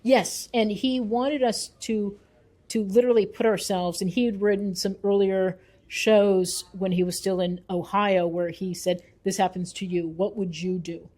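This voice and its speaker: A woman's voice: medium pitch, fairly even intonation, unhurried, mellow. A woman speaking as an interview guest and researcher, earnest and emphatic, repeating phrases for weight. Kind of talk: thoughtful spoken interview.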